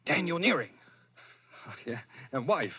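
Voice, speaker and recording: Jittery noir fugitive, rough-edged voice. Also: male voice, fairly high pitch, very animated, measured pace, sharp tone, full character voice. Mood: guarded, hesitant. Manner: hesitant, stammering, evasive. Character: jittery fugitive man with lost memory, evasive noir hero. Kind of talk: 1940s radio thriller acting. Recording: muffled, band-limited vintage radio recording.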